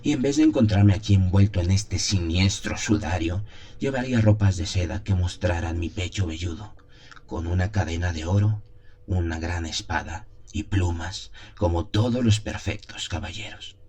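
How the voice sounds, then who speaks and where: A man's voice: low-pitched, moderate pace, dark tone, natural voice. A man playing an anguished, lovesick young seminarian who solemnly narrates his torment in a radio play. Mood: wistful longing. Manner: solemn, brooding, theatrical.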